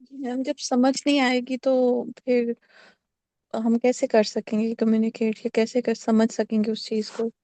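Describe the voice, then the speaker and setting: Flat-voiced polite woman student. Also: a little high, unhurried, no echo, low-quality recording. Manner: polite, addresses teacher as ma'am.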